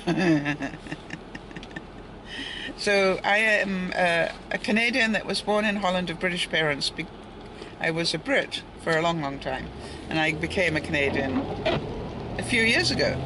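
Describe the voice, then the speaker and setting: A woman's voice: lowish voice, expressive, moderate pace, long pauses. A woman, older, talking to viewers in a casual vlog while outdoors by a street in light rain, rambling along with asides and little chuckles. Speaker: daily vlogger. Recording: noisy outdoor street with passing cars. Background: traffic and street ambience.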